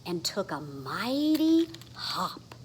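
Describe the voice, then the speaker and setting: A woman's voice: medium pitch, very animated, drawn-out words, slight background noise. A woman reading a children's story aloud expressively, with dramatic emphasis on the sound words. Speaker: storybook reader. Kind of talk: animated children's read-aloud.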